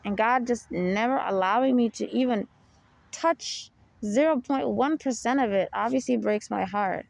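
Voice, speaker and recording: A young adult woman hosting a personal podcast, with a low, deep voice and a rambling, confessional way of talking, full of "you know" and soft laughs. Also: moderate pace, vocal fry, no room sound, low-quality recording. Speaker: podcast host.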